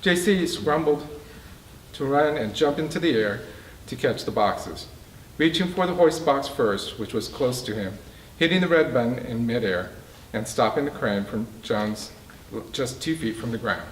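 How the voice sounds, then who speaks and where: A man reading his own prose fiction aloud to a live event, halting and emphatic, stumbling over words now and then. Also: mid-range voice, animated. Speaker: author reading from own book. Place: bookstore reading event, indoors. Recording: roomy, distant mic, faint background noise.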